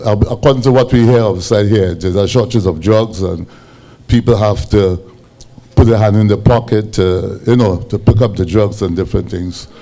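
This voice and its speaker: A man with a Grenadian accent and a big voice, asking questions at a town hall in a halting, comradely way. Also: on the low side, dark tone. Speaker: audience questioner.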